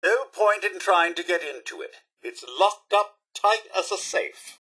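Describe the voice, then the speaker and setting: A man's voice: sits high, unhurried, bright, forceful tone, husky, heavily acted. A man playing a prim robot butler in a video game, haughty, over-polite and theatrically gloomy. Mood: brisk, courteous. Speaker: robot servant and attendant. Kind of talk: video game character acting.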